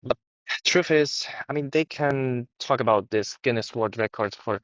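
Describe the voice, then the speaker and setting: A man's voice: mid-range voice, a little slow, a bit bright, dry recording, lo-fi sound. A man talks casually and hesitantly in an unscripted microphone test, his voice heavily processed by noise-cancelling earbud microphones. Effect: heavy noise-cancelling processing. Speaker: tech reviewer. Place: outdoors by a busy street.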